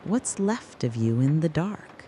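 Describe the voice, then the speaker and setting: A narrator delivering a scripted, dramatic late-night soliloquy in a deliberate, brooding way, speaking straight to the listener. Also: mid-range voice, big pitch swings, warm tone, a little hiss or hum. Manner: slow, deliberate, brooding second-person address.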